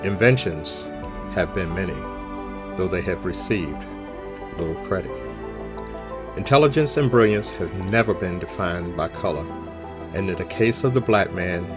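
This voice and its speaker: A man reading a scripted spoken tribute aloud. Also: low voice. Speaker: volunteer reader. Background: music.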